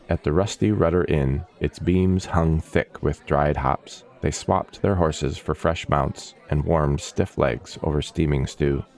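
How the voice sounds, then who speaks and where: Deep-voiced male narrator, fantasy audiobook, measured, atmospheric, dry close recording. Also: a little mellow, no room sound. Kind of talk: scripted fantasy story narration.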